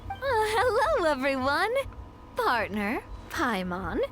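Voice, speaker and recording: A woman voicing a poised, friendly young female president in a video game, gracious and gently teasing. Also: high voice, wildly swooping pitch, takes it slowly, faint music behind, heavily acted. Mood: teasing welcome. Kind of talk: video game character acting.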